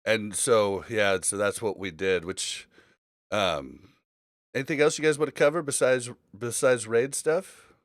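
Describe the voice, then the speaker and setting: A man's voice: lowish voice, a little slow, no echo, clean studio sound. A man hosting a casual gaming podcast, talking in a rambling, informal way. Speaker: podcast host.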